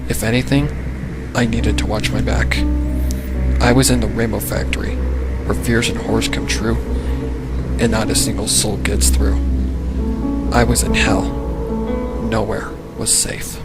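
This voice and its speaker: Male story narrator over loud music. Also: lowish voice, little pitch movement, unhurried, pauses often. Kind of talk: scripted first-person dark fan fiction narration.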